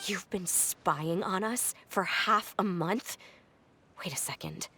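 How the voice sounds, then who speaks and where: A woman's voice: fairly low pitch, slow pace, sharp tone, slightly rough, full character voice. A woman voicing a defiant, cornered young girl in a video game, confrontational and emphatic with raw emotion. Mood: bitter, accusing.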